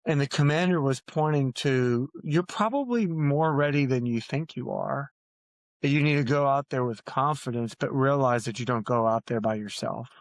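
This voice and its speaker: A man's voice: mid-range voice, normal speed, dry recording. Earnest man in a dry recording. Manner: earnest, emphatic, repeats phrases for weight. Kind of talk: earnest podcast interview talk.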